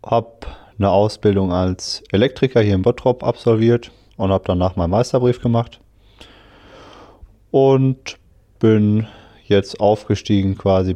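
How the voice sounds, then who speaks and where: A man's voice: lowish voice, dark tone. A man in his twenties, a master electrician, telling laid-back stories and chuckling in an interview. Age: 20s. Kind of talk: interview answers.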